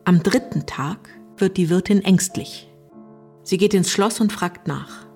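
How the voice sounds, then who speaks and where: Low-voiced female chronicler of a dark tale. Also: unhurried, faint music behind. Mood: somber. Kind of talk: German radio play, literary scripted narration.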